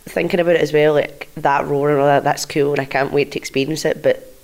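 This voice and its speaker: A woman's voice: deep voice, steady tone, quick pace, darkish tone. A woman with a Glasgow accent chatting casually as an interview guest, full of fillers and restarts. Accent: Scottish, Glasgow. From Scotland. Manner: chatty, filler-heavy, leans on "like". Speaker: guest wrestler.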